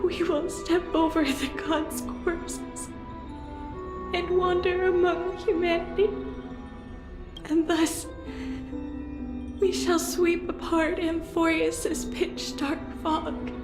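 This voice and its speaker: Resolute young game heroine, high voice, very slow solemn declamation, music behind. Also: female voice, level tone. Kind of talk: dramatic game cutscene acting. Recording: echoey, with clear music behind.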